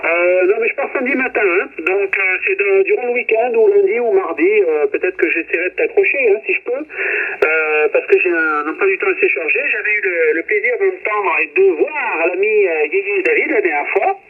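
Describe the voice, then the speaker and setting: A high-voiced man with a husky edge chats casually over amateur radio. His transmission sounds muffled, and he often tags his phrases as he goes. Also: a little fast. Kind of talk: casual radio contact chat.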